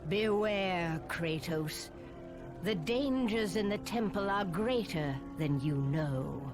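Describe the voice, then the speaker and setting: A woman's voice: medium pitch, animated. A woman playing a mystical, knowing village oracle in a video game, speaking with ominous foreboding. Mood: ominous warning. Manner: slow, ominous, warning. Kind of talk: dramatic video game acting. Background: music.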